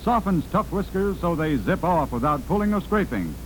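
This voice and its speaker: A man's voice: big pitch swings, moderate pace. A man with an American accent announcing and selling products in the style of 1940s radio. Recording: noisy old radio broadcast with rumble.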